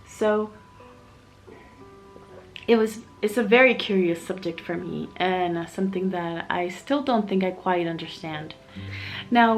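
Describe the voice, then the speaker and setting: A young adult woman talks in a relaxed, reflective way, often stopping to rethink a phrase. Her voice sits at an ordinary pitch for a woman, and soft music plays quietly underneath. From Miami, USA. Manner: candid, reflective, chatty with fillers. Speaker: vlogger, single mom living in Mexico. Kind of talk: casual personal vlog.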